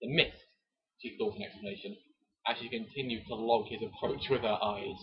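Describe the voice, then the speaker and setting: Husky man reading, muffled lo-fi recording. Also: medium pitch, moderate pace. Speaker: amateur narrator. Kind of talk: mock-dramatic romance novel reading with chatty asides. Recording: dull lo-fi home recording.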